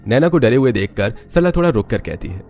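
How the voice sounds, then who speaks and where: A man's voice: mid-range voice, animated, quick pace, a little mellow, soft background music. A man narrating a scripted family story as its storyteller.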